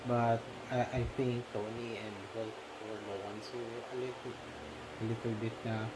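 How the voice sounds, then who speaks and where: A man with a Filipino accent leading a casual film discussion, often pausing to search for words. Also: medium pitch, flat delivery. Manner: halting, searching for words, mixes in Tagalog fillers. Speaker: discussion leader. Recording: noticeable background noise.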